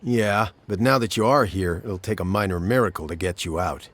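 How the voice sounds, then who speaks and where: A man's voice: deep voice, moderate pace, a bit bright. A man playing a world-weary, blunt monster-hunting mercenary in a fantasy game, laconic and matter-of-fact. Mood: blunt, sardonic. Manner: laconic, matter-of-fact, clipped colloquial phrasing. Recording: background music under the dialogue.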